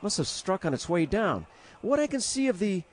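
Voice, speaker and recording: A man playing an excitable, courteous 1930s radio news reporter with formal announcer diction that gets flustered. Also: sits high, normal speed. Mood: excited, flustered. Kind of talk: 1930s radio drama acting.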